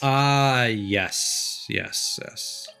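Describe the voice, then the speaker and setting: Bright-voiced man chatting on a game stream. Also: medium pitch, drawn-out words, smooth, soft background music. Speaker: livestreamer and VTuber. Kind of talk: casual gaming stream chat.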